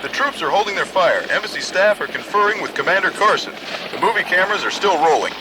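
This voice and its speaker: A man's voice: sits high, expressive, fast talker. A man playing an earnest live news correspondent reporting from a siege in a satirical radio play. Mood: urgent, reporting. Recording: loud helicopter-like noise behind.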